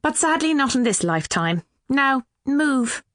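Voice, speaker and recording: A woman's voice: high voice, big pitch swings, measured pace, a bit bright, pitched above the performer's own voice, heavily acted. A woman voicing a brazen Irish woman in her twenties for an audiobook, curt and sarcastic.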